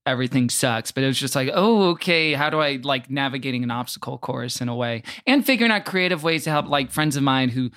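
An American man in a casual comedy podcast conversation. He riffs and slips in deadpan asides. The sound is dry and close, with no room to it. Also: mid-range voice, fast talker, few pauses, no room sound.

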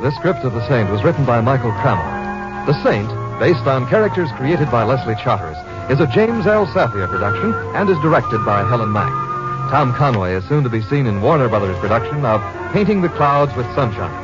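Loud music backs an American man on a muffled 1950s radio recording. He announces scripted credits with a lively, swinging pitch at a medium register. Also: a little fast.

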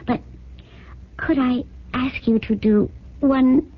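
Naive, devoted heiress: high breathy voice, gushing refinement, muffled vintage radio-drama sound. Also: female voice, steady tone, slow. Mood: pleading. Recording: dull lo-fi 1970s radio broadcast.

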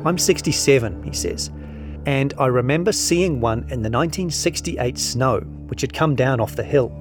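Kiwi guy reading a long article out loud, scripted narration, normal mid pitch, music going behind him. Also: moderate pace.

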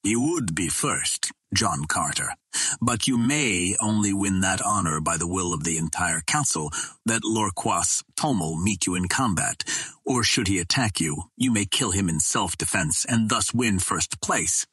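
A man's voice: low voice, expressive, a little slow, fairly bright tone, husky, no room sound, exaggerated voice. A man voicing a dutiful female Martian attendant in an audiobook, speaking formally and earnestly as she explains. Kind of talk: audiobook character reading.

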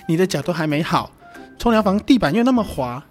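Man acting a high-pitched, playful doting boyfriend. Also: sing-song, moderate pace, soft background music. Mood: teasing. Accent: Malaysian. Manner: teasing, coaxing, sing-song particles. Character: playful, doting, teasing male gym teacher boyfriend. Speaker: amateur voice actor. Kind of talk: Chinese radio drama acting.

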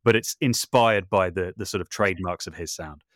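A man's voice: low-pitched, a little fast, fairly bright tone, no room sound, clean studio sound. A man speaking reflectively as an interview guest, thoughtful and often hedging or correcting himself as he goes. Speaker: podcast guest, audio drama maker and composer.

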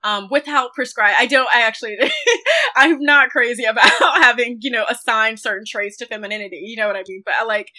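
Bright, high-voiced woman discussing film thoughtfully. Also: brisk. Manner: thoughtful, hesitant, carefully qualifying her points. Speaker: guest film critic. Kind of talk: reflective film discussion interview.

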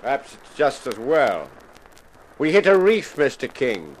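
An actor playing a courteous but coldly menacing yacht captain in a 1950s radio crime drama. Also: male voice, mid-range voice, huge pitch swings, unhurried, rough recording.

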